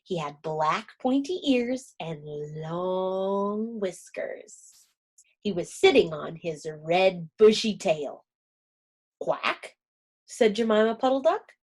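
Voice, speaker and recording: A woman reading a children's storybook aloud, gentle and expressive. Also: mid-range voice, takes it slowly.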